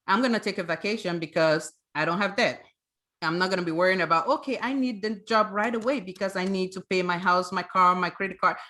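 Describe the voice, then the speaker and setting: Bright-voiced woman coaching on a webinar. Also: fairly low pitch, fairly quick. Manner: emphatic, checks with rhetorical "right?". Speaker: financial coach, webinar guest. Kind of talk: conversational explaining with examples.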